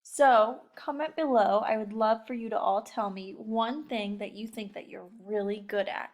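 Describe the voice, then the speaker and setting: A woman's voice: a little high, steady tone, moderate pace, darkish tone. A woman teacher giving a casual lesson to young children, encouraging them and addressing them directly with questions. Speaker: elementary school teacher. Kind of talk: casual read-aloud lesson talk to young pupils.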